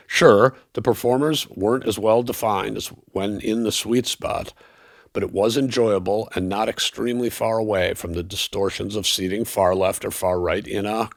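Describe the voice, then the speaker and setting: A man giving a scripted product review in a measured, analytical and precise manner. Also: sits low, dry recording, studio quality. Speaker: audio equipment reviewer.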